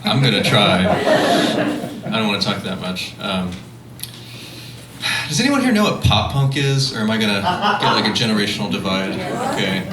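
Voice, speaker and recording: A man from central California chatting on a panel, quick to poke fun at himself. His voice sits on the low side. He sounds distant in a reverberant hall with audible room noise. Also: very animated, fairly bright tone. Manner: self-deprecating, joking. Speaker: panelist, opera singer. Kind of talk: panel conversation. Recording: echoey hall, distant mic, audience noise.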